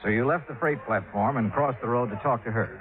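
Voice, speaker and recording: An actor playing a wry, probing insurance investigator, narrating and conversing in a 1950s radio drama. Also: male voice, mid-range voice, fairly quick, natural voice. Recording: muffled 1950s radio recording, loud music.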